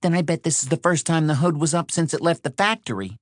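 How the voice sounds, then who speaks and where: A man voicing a frank, practical young woman in an audiobook, with a quick, matter-of-fact manner. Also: a little high, no room sound, clean studio sound, pitched above the performer's own voice, lightly acted.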